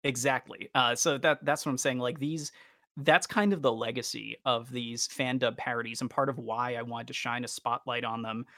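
A man's voice: medium pitch, fairly even intonation, normal speed, bright, forceful tone, no echo, studio quality. A man hosting a podcast, talking casually and with enthusiasm about fandom. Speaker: podcast host.

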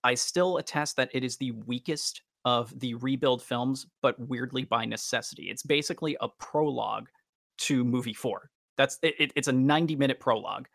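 A man's voice: medium pitch, normal speed, vocal fry, a bit bright, dry recording, clean studio sound. A man hosting a casual podcast, talking in wordy, roundabout and slightly formal phrases. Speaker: podcast host.

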